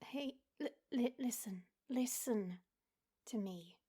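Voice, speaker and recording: A woman playing a worried, loving partner in an audio roleplay, with a breathy voice and a gentle, soothing way of talking. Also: a little high, slow pace. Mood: soothing, reassuring. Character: worried, loving female partner of a haunted assassin.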